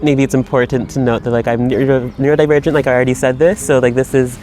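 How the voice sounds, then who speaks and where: A man's voice: mid-range voice, flat delivery, fast, darkish tone, slight background noise. A man speaking in a personal video monologue, rambling and reflective, with many fillers and restarts. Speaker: writer. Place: Berlin.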